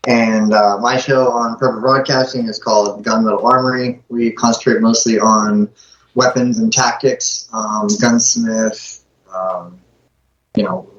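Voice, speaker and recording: A man's voice: medium pitch, fairly even intonation, unhurried, a bit bright. A man chatting casually as a guest performer, informal and loosely rambling. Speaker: guest voice performer and show host. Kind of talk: casual chat. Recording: muffled, roomy call audio.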